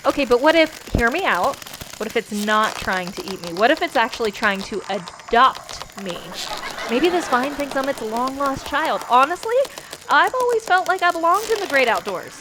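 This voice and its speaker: Woman doing jokey daredevil space pilot gal, high and really animated, sci-fi radio comedy, noisy effects behind. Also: normal speed. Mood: breezy, hopeful. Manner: flippant, pun-loving. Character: thrill-seeking, joking young female space pilot.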